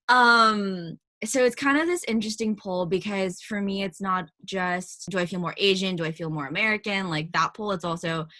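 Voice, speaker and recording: Young woman, podcast co-host, bright voice, lowish pitch, dry sound. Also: dry recording. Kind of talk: casual chat.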